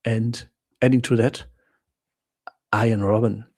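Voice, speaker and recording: A German man with a German accent rambles through a casual live chat. He talks slowly and haltingly, with many restarts. His voice is gravelly and a little husky. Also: fairly low pitch, flat delivery, dark tone. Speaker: livestream host answering viewer questions.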